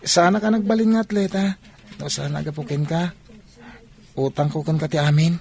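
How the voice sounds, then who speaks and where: A man's voice: on the high side, steady tone, brisk, gravelly, a little mellow. A man acting as an eager, obliging young village athlete in a radio drama, with an Ilocano Filipino accent. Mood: earnest.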